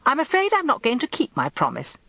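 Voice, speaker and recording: A woman playing a brisk, tart older wife in a radio drama, answering with crisp, firm retorts. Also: sits high, wildly swooping pitch, bright, forceful tone, no echo, dull audio, voice pushed up, exaggerated voice.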